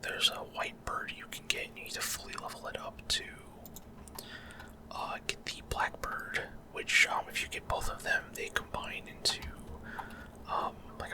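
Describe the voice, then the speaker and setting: A man speaks entirely in a breathy whisper, close to the microphone. He rambles casually through gameplay commentary for an ASMR video, often unsure of the details and feeling his way through each explanation. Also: extremely high pitch. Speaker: gaming ASMR video host.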